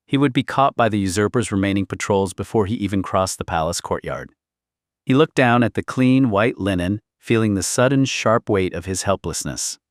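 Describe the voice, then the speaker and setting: A man's voice: on the low side, no echo, clean studio sound. A man narrating a historical saga as an audiobook, in a solemn, theatrical scripted style.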